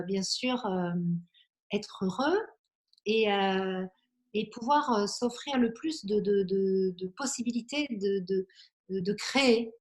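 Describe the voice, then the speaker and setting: A woman in her forties from Belgium, an interview guest speaking reflectively and earnestly, often halting as she searches for words. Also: medium pitch. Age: 40s.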